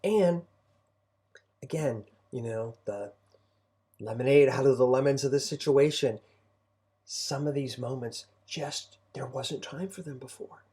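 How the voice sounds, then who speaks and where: A man's voice: medium pitch, a little slow, long pauses, dark tone. A middle-aged man speaking casually as a co-host and homeschooling parent, giving advice in a reflective, encouraging way. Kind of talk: casual conversational advice talk.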